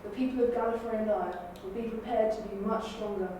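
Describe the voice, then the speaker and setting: Resolute female sci-fi leader played by a woman, firm oratory, mellow tone, very reverberant room. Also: fairly high pitch, steady tone, fast talker, close to an everyday voice. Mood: resolute, warning. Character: resolute female political leader of an alien people. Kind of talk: dramatic audition reading. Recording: very echoey room, distant mic, faint noise.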